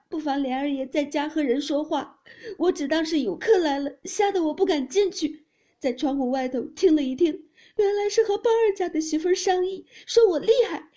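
A woman voicing a fierce, jealous young mistress in a storybook reading, shrill and complaining. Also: mellow, breathy edge, natural voice. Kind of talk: dramatised audiobook of classic novel.

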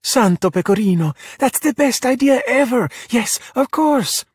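A man's voice: on the high side, normal speed, darkish tone, breathy edge, dry recording, clean studio sound. A man voicing a feisty, exuberant young girl, emphatic and outspoken, in a storybook. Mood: thrilled. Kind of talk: children's storybook character voice.

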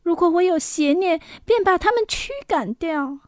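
Very high-voiced, bitter young girl. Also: animated, a little mellow, no echo, studio quality. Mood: resentful, regretful. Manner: plaintive, drawn-out, self-pitying. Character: proud, bitter young girl suffering for her sins. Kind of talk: dramatized fairy tale acting.

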